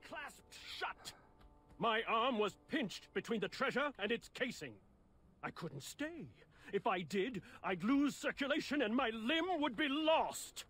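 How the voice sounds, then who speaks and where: An actor playing a vain knight in a fantasy game, telling of himself in a pompous, melodramatic way. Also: male voice, extremely high pitch, sing-song, fairly bright tone, husky, heavily acted. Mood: grave, melodramatic. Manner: pompous, dramatic, drawn-out delivery. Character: vain, melodramatic male knight boasting of exploits. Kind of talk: animated video game acting.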